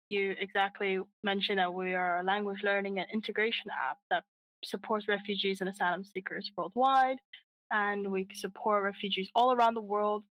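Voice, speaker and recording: A woman's voice: mid-range voice, highs cut off, slight background noise. Woman cofounder chatting about her project, earnest, lots of uhs. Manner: earnest, frequent fillers and restarts. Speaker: app co-founder, guest. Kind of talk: casual interview answers.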